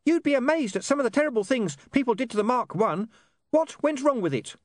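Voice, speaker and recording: A man's voice: very high voice, animated, brisk, no room sound, studio quality, pitched above the performer's own voice. A man voicing a small, nervous, apprehensive imp in an audiobook fantasy story. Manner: hesitant, apprehensive.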